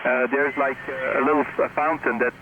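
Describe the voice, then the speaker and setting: Male ham radio operator, mid-range voice, conversational, heard through radio with clear background noise. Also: mid-range voice, little pitch movement, fairly quick, narrow, tinny audio. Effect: received over amateur radio. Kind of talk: casual ham radio chat. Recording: noisy amateur radio reception.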